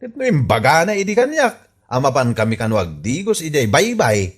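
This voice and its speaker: Radio comedy gossip: Ilocano villager, teasing, very lively intonation, medium pitch. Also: male voice, moderate pace, slightly rough. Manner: teasing, animated. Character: gossipy, teasing village man sharing a secret.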